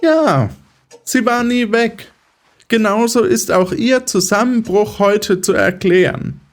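A man's voice: high voice, slow. A man playing a patronizing, controlling psychiatrist in an audio drama, lecturing in a didactic, condescending way. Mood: patronizing, soothing. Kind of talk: German audio drama acting.

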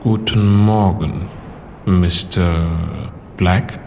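Courteous male doorman played by a man, very low and unhurried, deferential, in a long echoing hall. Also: fairly even intonation, darkish tone, a little hiss or hum, exaggerated voice. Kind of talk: audio drama acting. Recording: long reverberant hall, faint ambience.

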